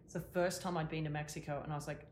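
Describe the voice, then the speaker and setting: A woman's voice: sits low, steady tone, rapid-fire, darkish tone, echoey room, a little hiss or hum. A woman with an Australian accent co-hosting a casual tennis podcast, easygoing and agreeable. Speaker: podcast co-host, former tennis pro. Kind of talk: casual chat.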